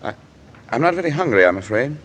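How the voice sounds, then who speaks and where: An actor playing a self-absorbed, secretive married poet in an old radio drama, measured and evasive. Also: male voice, medium pitch, sing-song, a bit bright. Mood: withdrawn. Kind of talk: mid-century American radio drama acting. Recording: old radio recording, faint noise.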